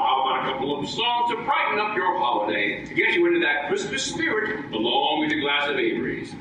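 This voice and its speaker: Animated male old-time radio announcer. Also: sits high. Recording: echoey hall, distant mic, clear noise.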